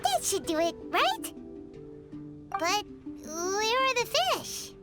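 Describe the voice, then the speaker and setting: Tiny, squeaky, excitable fairy companion. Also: female voice, slow pace, over loud music, full character voice. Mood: eager, excited. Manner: refers to self in third person, exclamatory. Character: small excitable floating fairy companion girl, childlike and chatty. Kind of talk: animated game character acting.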